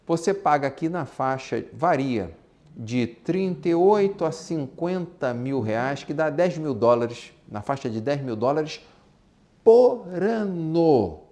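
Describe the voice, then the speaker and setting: A man's voice: a little high, lively intonation, slow, mellow. A man with a Brazilian accent giving an informal business lesson, emphatic, repeating his points and posing rhetorical questions. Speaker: business instructor. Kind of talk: informal lecture on exporting and business.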